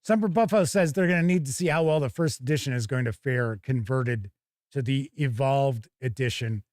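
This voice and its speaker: A man's voice: medium pitch, normal speed, darkish tone, no room sound, studio quality. A man hosting a tabletop game review stream, chatting casually and thinking aloud as he comments on an unboxing.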